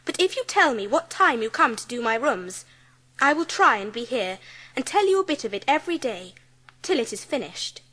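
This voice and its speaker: Little schoolgirl, very high voice, gentle, earnest, kind-hearted, dramatised audiobook. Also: big pitch swings, moderate pace, exaggerated voice, rough recording. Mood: kind, reassuring. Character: kind, well-bred schoolgirl of about ten, warm-hearted.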